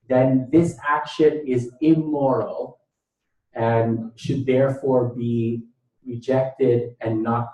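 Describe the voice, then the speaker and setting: A man's voice: mid-range voice, darkish tone. A man from Thailand lecturing, speaking emphatically, repeating key phrases and posing rhetorical questions. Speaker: lecturer, bioethicist. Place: indoor lecture room. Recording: echoey room, distant mic.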